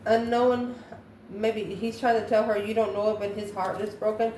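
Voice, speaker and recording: A woman's voice: mid-range voice, level tone, moderate pace, a little mellow. A woman doing an improvised tarot card reading, musing aloud and answering her own questions. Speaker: tarot reader, channel host. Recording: echoey room, faint background noise.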